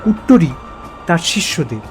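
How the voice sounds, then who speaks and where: A man playing a bewildered narrator in a first-person horror story, speaking theatrically in halting, suspenseful repeated phrases. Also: sits high, very animated, faint music behind. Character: bewildered man haunted by forgotten childhood memories.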